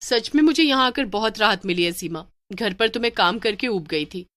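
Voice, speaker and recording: A woman voicing an outspoken, exasperated mother of two in an animated story. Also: mid-range voice, normal speed, dry recording, close to an everyday voice. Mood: settled. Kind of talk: animated Hindi story acting.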